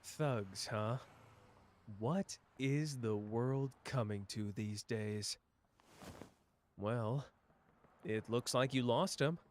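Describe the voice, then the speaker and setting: A man doing a slow, flirtatious charmer. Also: medium pitch, a little mellow, slight background noise.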